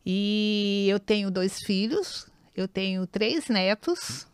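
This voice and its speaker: Clear-voiced Brazilian woman in her fifties. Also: medium pitch, expressive, slow pace, no echo. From São Paulo and Goiânia, Brazil. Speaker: podcast guest, nurse and acupuncturist. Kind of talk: conversational personal storytelling.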